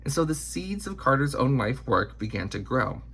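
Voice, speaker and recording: A man reading a children's biography aloud in an expressive storytelling style, with measured, deliberate phrasing. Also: mid-range voice, a little hiss or hum.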